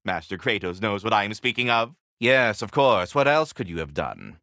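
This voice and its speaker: A man's voice: lowish voice, very animated, moderate pace, bright, forceful tone, dry recording, clean studio sound, heavily acted. A man voicing a polite, fussy magical squirrel from a video game, speaking in courtly, wordy, butler-like formality. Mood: genial, measured. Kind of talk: theatrical video game character dialogue.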